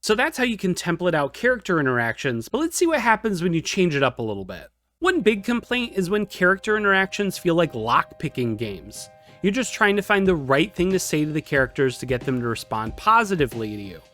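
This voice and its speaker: A man's voice: on the high side, big pitch swings, brisk, sharp tone. A man narrating a scripted video lesson as presenter, emphatic and informal with playful exaggeration. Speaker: video essay presenter teaching game writing. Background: background music.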